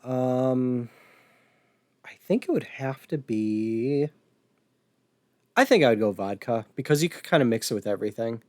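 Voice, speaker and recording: An American man chatting casually as a podcast host, somewhat halting when he describes things. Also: medium pitch, darkish tone, dry recording. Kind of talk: casual podcast chat about retro gaming.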